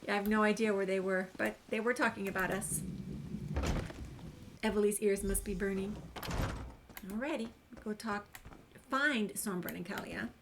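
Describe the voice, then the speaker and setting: A woman's voice: mid-range voice, normal speed, long pauses, background noise. A woman gamer giving a chatty, casual walkthrough commentary, reading dialogue options aloud as she plays. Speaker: gamer narrating a game walkthrough.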